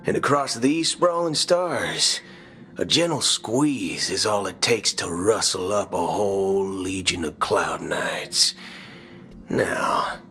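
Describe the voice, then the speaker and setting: A folksy space cowboy from a video game, all swagger and playful threat. He talks in a bright, slow Western American drawl that swings widely in pitch. Faint music plays behind him. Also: animated, heavily acted. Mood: impressed, wry. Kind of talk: video game cutscene acting. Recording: background music.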